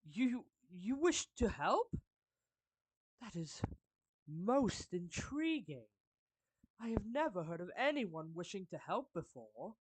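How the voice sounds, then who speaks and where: Casting: performer as a suave anime villain turned protective friend, formal and deliberate, slow delivery, mid pitch, dry close recording. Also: no echo, rough recording. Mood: intrigued, resolved. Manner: formal, measured, deliberate, pausing mid-phrase. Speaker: character voice actor. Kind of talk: anime fan roleplay monologue addressed to the listener.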